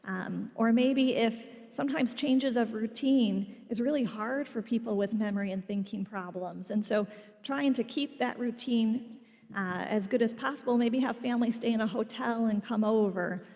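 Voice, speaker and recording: Woman, clinician, higher voice, gentle, reassuring, reverberant conference hall. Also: normal speed. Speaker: clinician on a panel. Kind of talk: panel talk at a symposium.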